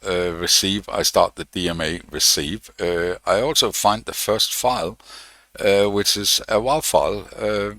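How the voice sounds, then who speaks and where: A man giving an unscripted technical walkthrough as a tutorial presenter, thinking aloud in a halting way. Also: deep voice, bright, forceful tone, no room sound.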